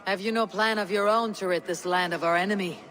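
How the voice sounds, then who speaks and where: A woman's voice: medium pitch, fairly even intonation, quick pace, fairly bright tone, close to an everyday voice. An actress playing a loyal, steadfast Viking warrior woman, direct and wry, in video game dialogue. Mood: firm, dutiful. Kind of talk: dramatic game cutscene dialogue.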